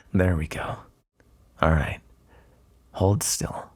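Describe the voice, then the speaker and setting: Gravelly, breathy seductive male vampire, acted by a man. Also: sits low, extremely slow, darkish tone, heavily acted. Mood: predatory, commanding. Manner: taunting, drawling, flirtatious. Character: predatory, teasing male vampire, smug and seductive. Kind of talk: ASMR roleplay acting.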